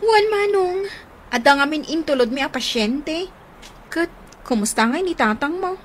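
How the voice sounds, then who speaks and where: An actress with an Ilocano accent playing a coy young student being courted in a radio comedy drama. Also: female voice, sits high, lively intonation, unhurried, a little hiss or hum.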